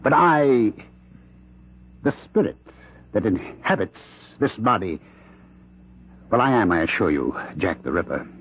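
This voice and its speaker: An actor playing a condemned professor who claims a killer spirit possesses him, with halting, intense, theatrical delivery in an old-time radio drama. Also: male voice, lowish voice, expressive, slightly rough, exaggerated voice. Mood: menacing, intense. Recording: dull, band-limited radio drama recording.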